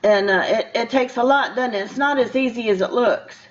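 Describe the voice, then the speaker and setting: A woman's voice: fairly high pitch, a little fast, dull audio. An older woman hosting a casual radio interview, chatty and rambling as she talks to her guest. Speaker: radio show host.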